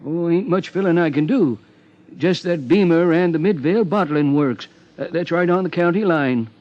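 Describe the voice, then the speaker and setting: A fussy small-town American lawman acted by a man with a high-set voice for a male. He rambles in a folksy, hesitant way, like a 1950s radio character part, on a muffled old broadcast recording. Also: moderate pace, lightly acted. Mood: folksy, musing.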